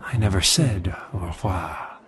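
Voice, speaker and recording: A man's voice: low voice, animated, unhurried, breathy edge, exaggerated voice. A man playing a mysterious, coolly flirtatious masked avenger in a radio drama parody, delivering dry, suave quips. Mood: cool teasing. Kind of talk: old-time radio drama parody acting.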